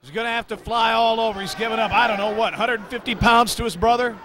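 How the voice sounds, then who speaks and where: A man's voice: very high-pitched, fairly even intonation, fast talker. A man giving color commentary on a wrestling match, earnest and opinionated.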